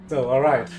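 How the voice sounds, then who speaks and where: Hoarse upbeat male race commentator. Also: medium pitch, a little mellow, slight background noise. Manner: upbeat, laughs often, self-mocking. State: hoarse, voice cracking. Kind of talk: live sim racing commentary.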